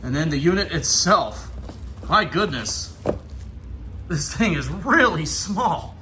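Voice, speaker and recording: A man's voice: on the high side, very animated, takes it slowly, noisy background. An American man giving casual hands-on unboxing commentary in an informal, enthusiastic way. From Ohio, United States. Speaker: vlogger. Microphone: handheld camera.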